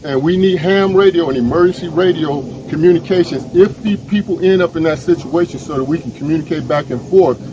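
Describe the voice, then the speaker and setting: A man's voice: a little high, fairly quick, few pauses, noisy background. An American man giving an informal, earnest and urgent video address to his community as an organizer, repeating himself for emphasis. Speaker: emergency preparedness and ham radio organizer. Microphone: phone.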